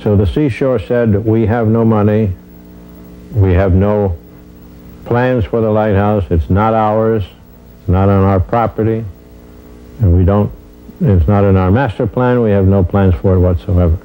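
Dark, low-pitched older man explaining. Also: steady tone, a little slow, pauses often, rough recording. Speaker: interviewee. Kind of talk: explanatory interview talk. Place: indoor room. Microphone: lapel mic.

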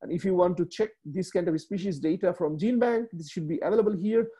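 A man in his thirties from Bangladesh, a native Bengali speaker with a Bangladeshi accent, giving a slide presentation on a video call, halting with many fillers and restarts. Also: sits high, dark tone, husky. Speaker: webinar speaker. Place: small room indoors.

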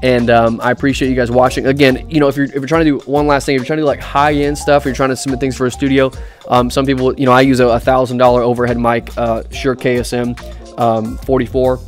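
A young man in a dark studio room, giving a casual review to camera, talking off-axis into a boom-arm condenser mic, with lots of ums and restarts. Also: level tone, quick pace, faint music behind. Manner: chatty, many ums and restarts. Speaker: drummer and gear reviewer. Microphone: USB condenser on a boom arm, speaking off-axis.

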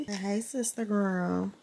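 A woman's voice: medium pitch, gravelly, warm tone, a little hiss or hum. A young woman with an Atlanta accent, chatting casually and playfully to her vlog camera and her daughter at home. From born in New Orleans, raised in Atlanta. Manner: playful, laughing, talks to viewers and her daughter. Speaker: vlogger mom. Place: bedroom at home. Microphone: handheld camera close up.

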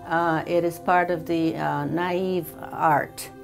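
A woman, a retired teacher and weaver, speaking thoughtfully with many pauses and fillers in a seated interview at home. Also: low voice, fairly even intonation, vocal fry, faint music behind. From Croatia. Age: 70s. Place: home living room, indoors.

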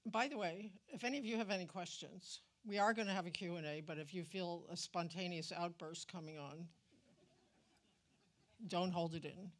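Lowish-voiced older woman moderating. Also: moderate pace. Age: 60s or older. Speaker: panel moderator. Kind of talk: informal onstage moderating. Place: auditorium stage. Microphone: handheld microphone.

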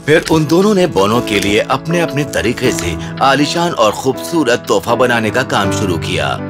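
A man's voice: medium pitch, sing-song. A man narrating a scripted children's fairy tale as storyteller. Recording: music under the narration. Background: music.

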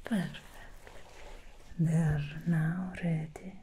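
Woman playing a female demon stylist, soft whisper, mellow tone, faint hiss behind, sly, scornful of mortals. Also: low voice, steady tone, slow pace. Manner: teasing, disdainful of mortals. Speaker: ASMR roleplay performer. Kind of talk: ASMR roleplay.

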